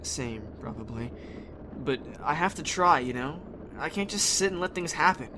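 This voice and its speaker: A man's voice: a little high, expressive, moderate pace, close to an everyday voice. A man as a headstrong teenage boy, noisy background. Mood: worried, determined. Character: headstrong teenage boy survivor, blunt but earnest. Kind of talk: naturalistic amateur audio drama acting. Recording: clear vehicle-like background noise.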